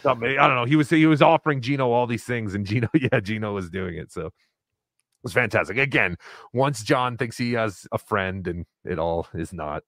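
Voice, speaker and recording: A man's voice: fairly low pitch, a little fast, fairly bright tone, dry recording, studio quality. A man co-hosting a livestream, reading donations and chatting casually, swearing and laughing as he talks. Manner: loose, swearing, laughs while talking. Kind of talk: casual profane chat.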